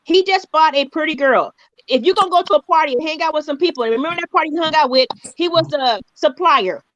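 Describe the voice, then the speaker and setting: Fast-talking high-voiced woman gossiping. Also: a bit bright, dry recording. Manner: blunt, repeats herself, slangy. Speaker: co-host on a call-in video chat. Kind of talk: casual gossip chat. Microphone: video call. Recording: slightly dull video call audio.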